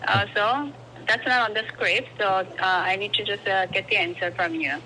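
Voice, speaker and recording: A woman with a bright, forward voice conducts a phone survey, politely persisting with scripted questions and hesitant ad-libs. She comes through a phone's speaker, with some background noise. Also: medium pitch, normal speed. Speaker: telephone survey interviewer. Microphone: phone call picked up by a camera in a garage. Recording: noisy phone call over speakerphone.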